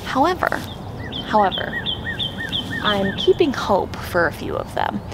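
A woman's voice: mid-range voice, big pitch swings, measured pace, background noise. An American woman in her thirties chatting expressively and informally to camera as she gives a casual vlog tour outdoors. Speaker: gardening vlogger. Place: outdoors in a suburban backyard.

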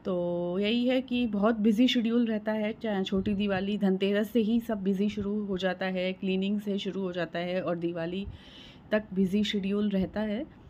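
A woman's voice: mid-range voice, fairly even intonation, normal speed, darkish tone, muffled recording. Chatty woman vlogging at home. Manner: chatty, showing items one by one, with fillers. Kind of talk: casual vlog chat to camera. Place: bright room at home, later a balcony. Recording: home phone recording with clear background noise.

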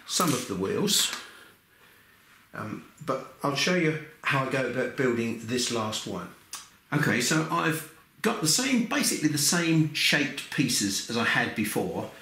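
An older man, his voice croaky from a cold, talks through a hands-on workshop build in a methodical, patient way. His mid-pitched voice rings a little in an echoey room. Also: a bit bright, a little hiss or hum. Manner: methodical, explains step by step with frequent "okay" and "um". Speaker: maker demonstrating a build. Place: workshop bench.

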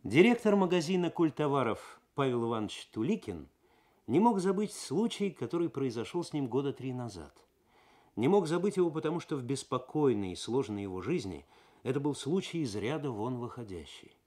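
A male narrator with a medium-pitched voice reads prose for 1970s radio. His delivery is actorly and expressive, building suspense in a literary style. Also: brisk. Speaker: narrator, reader.

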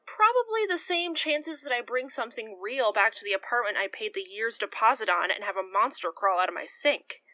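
Woman acting a confident, highish-voiced young woman. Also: lively intonation, a little fast, hardly any pauses, dry recording, highs cut off, lightly acted. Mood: wry. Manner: breezy, teasing. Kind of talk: naturalistic audio drama dialogue.

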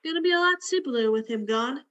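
Woman playing a high-voiced, bitter noir dame. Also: expressive, a little fast, a bit bright, muffled recording. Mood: bitter, flippant. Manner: blunt, sardonic. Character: cold, bitter, flippant young woman, murdered man's sister. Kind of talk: radio play acting.

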